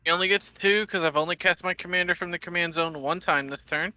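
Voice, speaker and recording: A man's voice: high voice, fast talker, sharp tone, no room sound, highs cut off. A man casually announcing his plays step by step in a livestreamed card game, matter-of-fact in tone.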